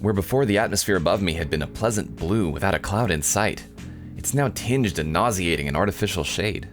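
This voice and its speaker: A man's voice: sits low, expressive, a little fast, soft background music. Man voicing an angsty, melodramatic anime schoolboy hero. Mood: bewildered, alarmed. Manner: overwrought, self-pitying. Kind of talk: visual novel read-aloud.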